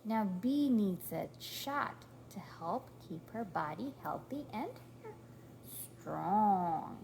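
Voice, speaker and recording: Woman, parent reading aloud, animated swooping pitch, unhurried pace, encouraging, prompting, faint noise behind. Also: vocal fry, low-quality recording. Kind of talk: read-aloud storytime to a toddler.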